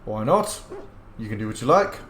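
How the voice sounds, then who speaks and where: A man's voice: fairly low pitch, big pitch swings, normal speed, fairly bright tone. Man doing casual commentary in a roomy space. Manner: informal, sweary, reflective. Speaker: let's play host. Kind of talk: casual roleplay game commentary. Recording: roomy echo, faint background noise.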